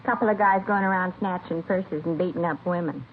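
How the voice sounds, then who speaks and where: Chatty actress as a drive-in waitress, vintage radio. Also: female voice, on the low side, brisk, phone-quality sound, natural voice. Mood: chatty, helpful. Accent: American. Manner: casual, familiar with customers. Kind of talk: 1950s radio drama dialogue. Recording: thin, slightly noisy old radio recording.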